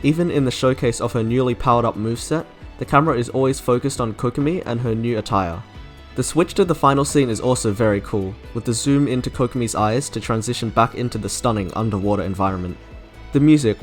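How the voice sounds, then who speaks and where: A young man reading scripted opinion commentary as a video essayist. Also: mid-range voice. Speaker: video essayist reviewing game trailers. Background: soft game music.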